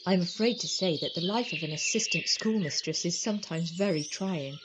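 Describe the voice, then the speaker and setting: A woman's voice: lowish voice, brisk, lightly acted. A woman playing a young schoolmistress in a radio drama, voicing her letters in a genteel, affectionate and wistful way. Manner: genteel, tender, formal letter reading. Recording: dull, noisy recording with birdsong.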